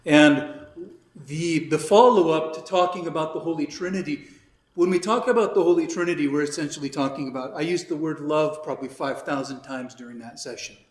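A man, a priest recovering from illness, teaching a catechism class in a conversational way, his voice slightly raspy and a little weak. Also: fairly high pitch, a little fast. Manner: conversational, with many fillers and restarts. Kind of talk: informal religious teaching. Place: church classroom. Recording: echoey room.

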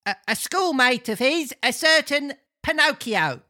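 A woman voicing a chatty, high-energy old man in a storybook, with a campy, stop-and-start delivery. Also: lively intonation, unhurried, bright, forceful tone, full character voice. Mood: gossipy.

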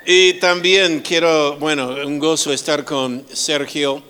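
A middle-aged man preaching as a guest speaker, slow and full of pauses and restarts, with humorous asides. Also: a little high, level tone, sharp tone, smooth. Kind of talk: sermon.

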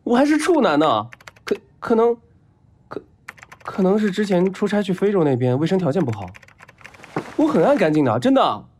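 High-pitched, excitable, flustered young guy. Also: brisk, long pauses, slight background noise. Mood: flustered, defensive. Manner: stammering, whiny, exclamatory. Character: flustered, smitten, excitable young male patient. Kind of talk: audio drama acting. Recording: music behind.

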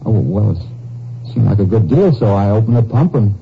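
An actor playing a nervous, evasive army soldier in a 1950s radio drama, hesitant, stammering and defensive. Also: male voice, fairly low pitch, lively intonation, normal speed, creaky. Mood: hesitant, explaining. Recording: muffled, noisy old 1950s radio recording.

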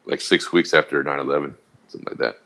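A man's voice: very deep voice, quick pace, vocal fry, a bit bright. A man co-hosting a podcast in casual chat, making dry, teasing remarks. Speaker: podcast co-host.

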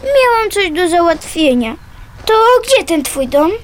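A woman's voice: very high-pitched, very animated, measured pace, a little hiss or hum, full character voice. An actress playing a small, tough, wary young girl in a radio play, blunt and defiant in short curt replies. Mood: curious, blunt.

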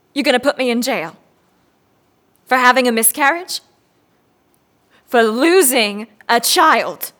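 A defiant, grieving mother in a radio drama. Her voice is fairly high and sharp-edged, and she talks bluntly, with sarcasm and protective indignation. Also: takes it slowly, pauses often. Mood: incredulous, wounded. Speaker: actor in radio play. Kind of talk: naturalistic audio drama acting.

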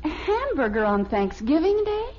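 An American actress playing a patient, gently teasing middle-aged wife and mother in an old radio comedy. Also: sits high, big pitch swings, moderate pace, slight background noise. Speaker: actor. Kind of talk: old-time radio family comedy acting. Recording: dull old radio recording.

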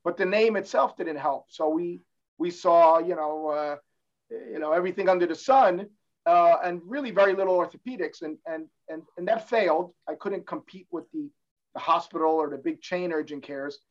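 A man's voice: on the high side, normal speed. Middle-aged man, muffled, explaining. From New Jersey, born in Cuba. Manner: explanatory, frequent restarts. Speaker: guest, orthopedic hand surgeon. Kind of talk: casual interview talk. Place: office in his medical building.

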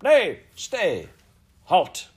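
A man's voice: mid-range voice, sing-song, normal speed, a little mellow, voice pushed up, close to an everyday voice. A man voicing an imperious, hot-tempered, lovestruck Elizabethan English queen in a storybook, with commanding, archaic royal speech. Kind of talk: storybook character voice.

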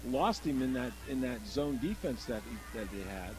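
A man's voice: mid-range voice, expressive, moderate pace, a little mellow, poor audio. An American man giving informal color commentary on a football game, repeating words as he goes.

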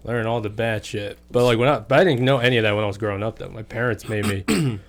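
Animated, swearing young man. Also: lowish voice, fast talker. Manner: swears freely, laughs often, animated storytelling. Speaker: podcast guest. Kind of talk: casual chat.

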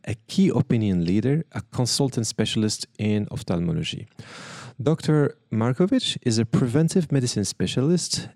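A man's voice: lowish voice, a little mellow, no echo, clean studio sound. A man hosting an interview, who reads a formal introduction of his guest and then asks loose, rambling questions. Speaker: podcast host.

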